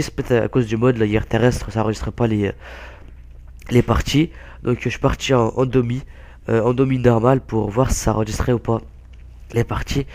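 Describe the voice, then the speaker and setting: A young man talks through a gameplay clip while sick, his voice hoarse and stuffed up. The tone is dark and gravelly with a lot of fry, and he stumbles and restarts often. His pitch sits in an ordinary middle range. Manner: halting, many fillers and restarts. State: hoarse, stuffy-nosed with a cold. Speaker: gaming YouTuber. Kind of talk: casual gameplay commentary.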